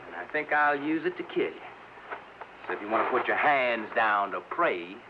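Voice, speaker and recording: An actor playing a menacing, sneering killer in a 1950s radio western. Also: male voice, medium pitch, lively intonation, normal speed, close to an everyday voice. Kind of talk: 1950s radio drama acting. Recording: muffled, noisy old radio broadcast.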